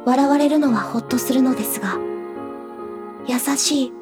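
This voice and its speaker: A woman playing a delicate, sweet and quietly intense teenage girl in an audio drama, speaking softly and with careful phrasing. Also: sits high, moderate pace, music in the background, close to an everyday voice. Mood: confessional, uneasy. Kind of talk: Japanese audio drama acting.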